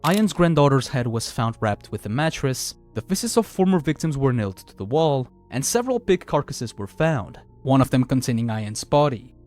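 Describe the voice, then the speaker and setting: A man's voice: mid-range voice, very animated, moderate pace, hardly any pauses, a little mellow, faint music behind. A man narrating a scripted video review of a horror series as its host.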